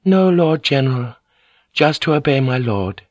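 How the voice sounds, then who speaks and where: A man voicing a shaken, obedient Japanese noblewoman in an audiobook, with a threadbare voice and halting delivery. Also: mid-range voice, expressive, a little mellow, no echo, muffled recording, pitched above the performer's own voice, lightly acted. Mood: shaken, deferential. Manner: faltering, halting. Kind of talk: dramatic audiobook character voice.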